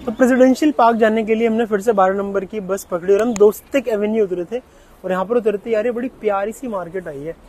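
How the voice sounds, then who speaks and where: A man in his thirties vlogging outdoors on a handheld camera, talking excitedly and casually, often saying "yaar". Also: high-pitched, low-quality recording. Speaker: travel vlogger. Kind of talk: casual travel vlog narration.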